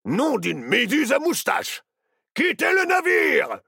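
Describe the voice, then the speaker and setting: A man voicing a menacing, bad-tempered ghostly pirate captain in a children's story, raspy and creaky, barking orders and threats. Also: medium pitch, wildly swooping pitch, slow pace, pushed and bright, dry recording, clean studio sound, pitched above the performer's own voice, heavily acted. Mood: alarmed bellowing. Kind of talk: theatrical children's story villain.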